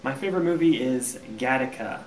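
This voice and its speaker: A man's voice: mid-range voice, a little fast. American man in his thirties, roomy distant sound. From United States, Kansas. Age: 30s. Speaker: university teacher, interviewee. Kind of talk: casual interview answers.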